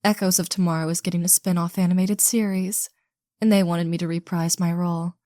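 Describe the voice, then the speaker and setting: A woman's voice: fairly low pitch, little pitch movement, a little fast, mellow, no echo, clean studio sound, lightly acted. A woman playing a snobbish, sarcastic movie star with a condescending, theatrical drawl in an audio roleplay. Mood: smug. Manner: condescending, theatrical drawl, calls others darling. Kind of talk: scripted audio roleplay acting.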